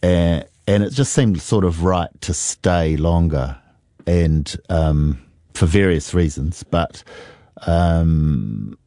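A man's voice: sits low, slow, dark tone, no room sound, clean studio sound. A middle-aged New Zealand man, a musician, telling stories reflectively and unhurriedly in an interview, with many fillers. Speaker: musician guest. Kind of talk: relaxed interview storytelling.

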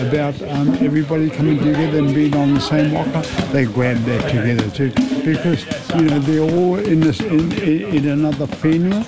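A man's voice: on the high side, low-quality recording. A man with a New Zealand accent talking casually in an interview, with people around him. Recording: busy background crowd noise.

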